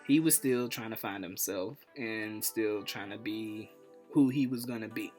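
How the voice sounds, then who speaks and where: A man in his twenties with an American accent, hosting a casual storytelling vlog in an animated, playful, slangy way. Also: fairly low pitch, measured pace, faint music behind. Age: 20s. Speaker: YouTube host.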